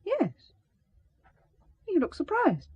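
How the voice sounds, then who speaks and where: An actress playing an anxious, loyal secretary in a radio drama, deferential but stubborn. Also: female voice, extremely high pitch, wildly swooping pitch, slow, dry recording, lo-fi sound, exaggerated voice. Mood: anxious, frightened. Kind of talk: British radio drama acting.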